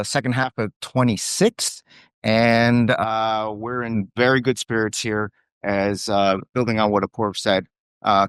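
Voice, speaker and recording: A man speaking as a company executive on an earnings call, semi-casual and upbeat with a halting delivery. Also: lowish voice, a bit bright, clean tone, no echo.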